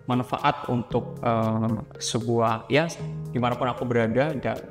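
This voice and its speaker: A man's voice: medium pitch, steady tone, a little slow. A man, answering interview questions as a student guest, explaining things politely in semi-casual talk. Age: 20s. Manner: explanatory, polite, addresses listeners as friends. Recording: very reverberant room, clear music underneath. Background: music.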